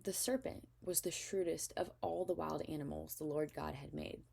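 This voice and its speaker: A woman narrates scripture as a scripted reading. Her voice is notably low for a woman, with plenty of vocal fry. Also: fairly even intonation, moderate pace, mellow. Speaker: scripture reader.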